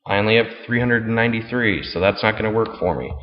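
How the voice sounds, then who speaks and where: Low-voiced man in a muffled, echoey recording. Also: quick pace, a little hiss or hum. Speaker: fantasy football league commissioner. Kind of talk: casual screen-share tutorial, explaining step by step. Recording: muffled, boomy room with long reverb.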